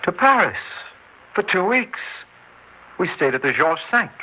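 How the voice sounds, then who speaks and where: An actor playing a bewildered husband who stammers and pleads, in a 1960s radio drama. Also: male voice, sits high, big pitch swings. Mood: fond. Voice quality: halting, stammering delivery. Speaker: husband returning home. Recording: muffled old radio broadcast.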